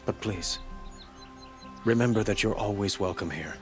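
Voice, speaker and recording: A man performs a noble ruler in a game cutscene. The voice is on the low side, with formal, courtly phrasing and gracious earnestness. Music runs underneath. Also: normal speed, natural voice. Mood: gracious, wistful. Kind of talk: cinematic video game acting.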